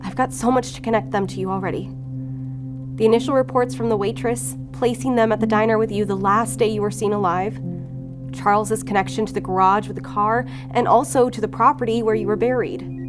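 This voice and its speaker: A woman's voice: medium pitch, fairly even intonation, fairly quick, over music, natural voice. A woman playing a determined, guilt-ridden federal investigator in an audio drama, earnest and resolute in manner. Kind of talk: audio fiction acting.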